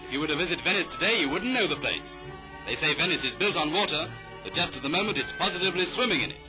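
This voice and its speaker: High-pitched British 1930s newsreel man. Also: expressive, fast talker, poor audio. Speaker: newsreel narrator. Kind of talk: 1930s British newsreel commentary, scripted narration. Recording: vintage 1930s newsreel soundtrack. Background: music.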